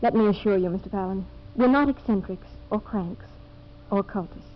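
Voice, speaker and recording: Woman acting an earnest young settler, medium pitch, polished, sincere, old radio drama, muffled noisy recording. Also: moderate pace, lightly acted.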